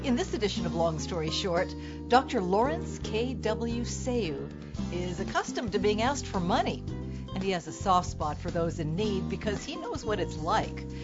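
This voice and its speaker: A woman hosting a television interview, who reads her introductions and asks questions in a clear, measured broadcaster manner. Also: mid-range voice, big pitch swings, dull audio, over loud music.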